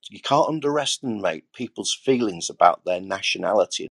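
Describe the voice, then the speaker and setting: A man's voice: on the low side, lively intonation, moderate pace, gravelly, a bit bright, breathy edge, no room sound. A middle-aged man from Yorkshire, a guest speaker, talking reflectively and stumbling as he restarts his sentences. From Yorkshire, England.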